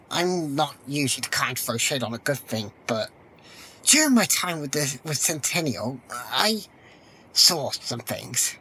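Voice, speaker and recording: An actor playing a troubled, deferential follower in a fantasy audio drama, formal, grave and halting. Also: male voice, fairly high pitch, sharp tone, slightly rough, full character voice, poor audio.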